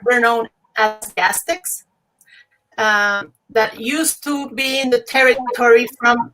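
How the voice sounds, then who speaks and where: A woman from Mexico with a Mexican Spanish accent, a native Spanish speaker, explaining a spiritual tradition slowly and patiently as a podcast guest over a call. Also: on the high side, fairly bright tone, low-quality recording. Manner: measured, explains terms patiently.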